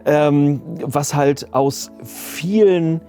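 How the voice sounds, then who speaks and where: A man's voice: fairly high pitch, drawn-out words, warm tone. A man, explaining his work as a sound designer in a casual interview. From Germany. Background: music.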